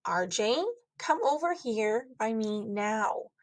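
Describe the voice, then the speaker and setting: A woman's voice: fairly high pitch, animated, slow, no room sound. A stern mother from a children's storybook, as a woman reader puts her on. She scolds her child in a firm, disapproving way, with very little room sound around the voice. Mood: firm command. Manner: firm, admonishing.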